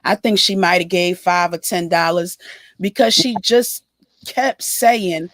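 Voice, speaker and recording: A woman co-hosting a livestream who is hoarse and losing her voice, explaining her views in a measured way during casual gossip chat. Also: on the low side, a bit bright, no room sound. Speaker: livestream co-host.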